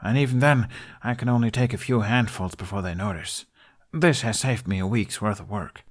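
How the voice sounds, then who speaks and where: Man acting as young tinkerer prince, awkward, hesitant, deadpan, audiobook character. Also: lowish voice, normal speed, lightly acted. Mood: guarded, earnest.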